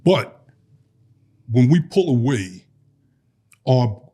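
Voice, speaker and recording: Man, 50s, Brooklyn, deep smooth voice, New York accent, husky edge. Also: very animated, drawn-out words, mellow. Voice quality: deep laid-back voice. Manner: smooth, radio-host-like delivery. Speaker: guest, relationship coach. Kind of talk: casual podcast chat.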